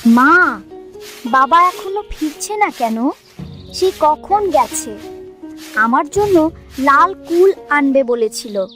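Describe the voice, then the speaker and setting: A woman voicing a little village child in an animated moral story. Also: sits high, slow, long pauses, soft background music, exaggerated voice. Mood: plaintive, waiting. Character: little village child waiting anxiously for father.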